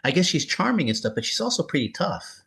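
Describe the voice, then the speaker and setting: A man's voice: a little high, sing-song, fast, vocal fry, pushed and bright, husky. A man chatting casually as an interviewer, earnest and rambling, often repeating the same filler tags. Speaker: cartoonist interviewing a peer.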